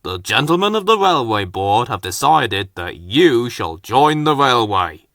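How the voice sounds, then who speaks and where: A man's voice: medium pitch, very animated, a little slow, fairly bright tone, dry recording, studio quality. Man as a proud, authoritative railway boss. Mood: cheerful.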